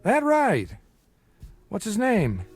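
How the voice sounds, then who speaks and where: Glib boozy bookie, high-pitched and animated. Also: male voice, takes it slowly, husky, soft background music, full character voice. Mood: flustered, charming. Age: middle-aged. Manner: glib, theatrical flattery with wistful turns. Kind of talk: audio drama acting.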